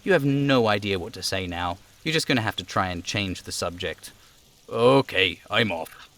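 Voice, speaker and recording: A man's voice: fairly low pitch, expressive, normal speed, fairly bright tone. A man live-streaming a video game, switching between reading out dialogue and casual commentary.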